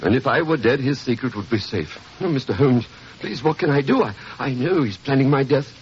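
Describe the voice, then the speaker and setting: Man acting scared servile butler, stammery, pitch jumping around, muffled crackly old radio. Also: lively intonation, fairly quick, natural voice. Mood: desperate. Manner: deferential, nervous stammering.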